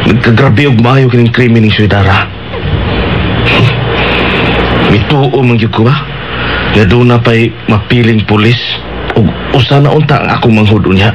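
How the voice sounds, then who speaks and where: A man's voice: medium pitch, noisy background, close to an everyday voice. An actor playing a grieving, embittered man in a Cebuano radio drama, speaking in an insistent, brooding way with a Cebuano accent. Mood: grieving, angry. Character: grieving, embittered man siding with vigilantes against criminals. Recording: dull lo-fi radio broadcast under loud music. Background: loud dramatic background music.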